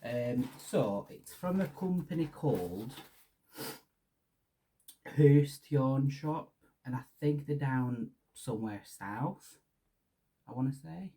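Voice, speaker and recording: A young man with a Hungarian accent tinged with Lancashire chats loosely as a podcast host, tripping over restarts and filling gaps with ums. His voice is mellow and warm, with a soft husky edge. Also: medium pitch, lively intonation, slow pace, pauses often. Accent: Hungarian with Lancashire English. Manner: rambling, many restarts and ums, laughs shyly. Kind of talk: casual solo podcast chat.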